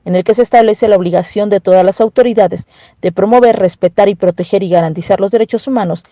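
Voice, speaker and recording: A woman's voice: mid-range voice, dry recording, muffled recording. A woman with a Mexican accent reading a scripted news report as a reporter.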